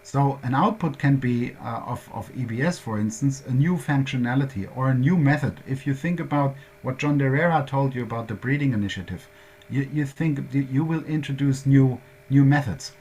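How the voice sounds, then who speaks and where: A man's voice: mid-range voice, normal speed, darkish tone, slightly rough. A man with a German accent presenting at a workshop, explaining concepts step by step in a methodical way.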